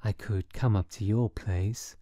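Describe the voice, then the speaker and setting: A woman's voice: very deep voice, steady tone, measured pace, dark tone, dry recording, studio quality. A woman voicing a young man in an audiobook who feigns a suave, mature, polite manner. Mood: hopeful, polite.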